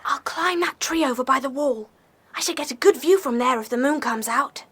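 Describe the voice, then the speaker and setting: A woman's voice: sits high, moderate pace, fairly bright tone, dry recording. A woman playing a keen, adventurous young boy in a children's audio drama. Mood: keen, secretive.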